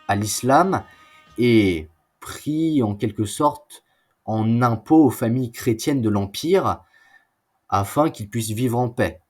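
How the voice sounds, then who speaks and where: A man's voice: medium pitch, a little mellow. A man narrating an educational history video in an explanatory way, with informal asides. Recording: music behind the voice. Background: music.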